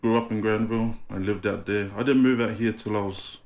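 A young man from New Zealand, chatting casually as a guest, relaxed and quick to laugh, using clipped slang. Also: on the low side. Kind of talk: casual chat.

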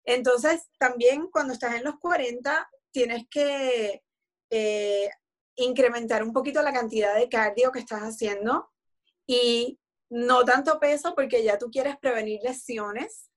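A woman, explaining her routine in a relaxed, conversational way full of fillers. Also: on the high side, measured pace. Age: 40s. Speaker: guest. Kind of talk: casual conversation about fitness.

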